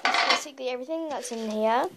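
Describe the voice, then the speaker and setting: Croaky young girl with a cold, high-pitched and rambling, filmed on a hissy phone in her bedroom. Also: fast. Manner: chatty, rambling with many fillers. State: hoarse from a cold. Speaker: beauty vlogger. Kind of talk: casual makeup collection tour. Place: bedroom at home, indoors. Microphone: phone camera held in hand.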